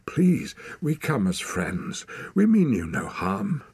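A man's voice: on the low side, huge pitch swings, slow, gravelly, darkish tone, breathy edge, no room sound, pitched above the performer's own voice, full character voice. A man voicing an earnest, anxious boy who pleads desperately, in an audiobook. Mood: desperate pleading. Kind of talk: audiobook character acting.

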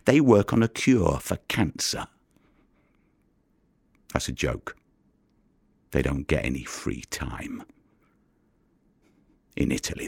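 A man's voice: sits low, vocal fry, husky, no room sound, studio quality, exaggerated voice. A man acting a weary, bitter Italian fisherman in an audio drama monologue, with a wry, brooding, coarse-tongued manner. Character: weary, bitter male Italian fisherman turned body recoverer.

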